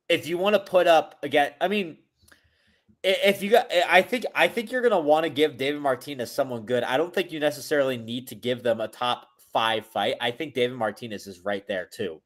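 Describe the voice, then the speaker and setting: A man's voice: on the high side, lively intonation, moderate pace, fairly bright tone. A man hosting a casual podcast chat. He rambles, restarts his sentences often and uses many fillers. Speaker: podcast host.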